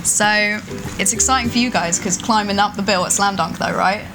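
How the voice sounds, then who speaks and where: A woman's voice: mid-range voice, normal speed, background noise. A woman interviewing casually for radio, in an enthusiastic, informal way. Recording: music playing behind the voice.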